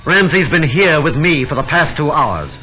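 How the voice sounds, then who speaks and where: An actor playing an earnest, cautious client in a 1940s radio detective drama, speaking with precise emphasis. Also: male voice, fairly high pitch, sing-song, moderate pace, narrow, tinny audio, soft background music. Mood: cautioning. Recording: band-limited old radio broadcast.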